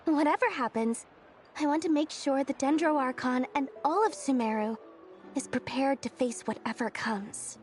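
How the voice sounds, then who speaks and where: A woman's voice: high voice, normal speed, darkish tone, close to an everyday voice. A woman voicing a shrewd, playful young businesswoman in a video game, breezy, self-assured and casually evasive. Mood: earnest. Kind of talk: video game story dialogue acting. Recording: music behind the voice.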